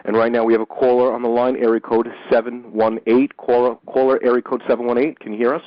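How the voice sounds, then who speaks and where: A man hosting a call-in radio show, speaking in a measured way and often repeating a word as he starts a phrase. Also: medium pitch, level tone, no echo. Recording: dull dry lo-fi radio recording.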